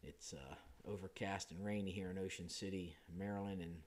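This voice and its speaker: Man, low deep voice, hoarse with a cold, casual step-by-step tutorial, thinks aloud. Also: fairly quick, a little hiss or hum. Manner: relaxed, thinking aloud with frequent uh and self-corrections. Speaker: flight sim hobbyist host. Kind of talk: screen-recorded how-to walkthrough.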